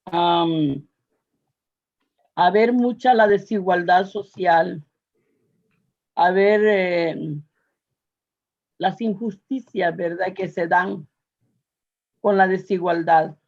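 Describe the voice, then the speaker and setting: An older woman with a Salvadoran Spanish accent gives a personal testimony to an audience, speaking earnestly and haltingly, with searching pauses and repetitions. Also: lowish voice, a little mellow, muffled recording.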